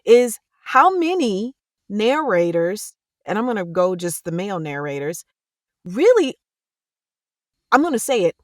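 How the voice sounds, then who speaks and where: Emphatic, lively woman on a dry mic. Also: medium pitch, a little slow, no room sound. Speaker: audiobook narrator, podcast co-host. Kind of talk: casual roundtable discussion.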